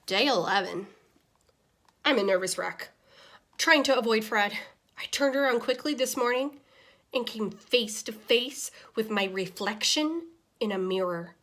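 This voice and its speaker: A woman voicing a small, easily exasperated pet goldfish narrating a diary in an expressive, deliberate children's picture-book read-aloud. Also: medium pitch. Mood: frazzled.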